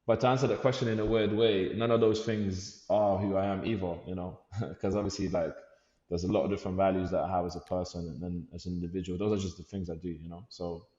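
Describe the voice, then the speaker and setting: A man's voice: low-pitched, fairly even intonation, fast talker, warm tone, reverberant, long reverb tail, dull audio. A man from Lithuania, a guest on a podcast, talking in an easygoing, reflective way and repeating words as he searches for them. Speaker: podcast guest, life coach and youth worker. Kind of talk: casual podcast conversation.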